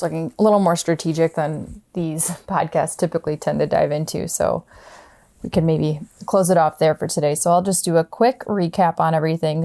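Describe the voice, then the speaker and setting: Gravelly-toned woman hosting a podcast. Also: sits low, mellow. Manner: friendly, searching for words with hedged questions. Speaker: podcast host. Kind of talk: conversational podcast interview.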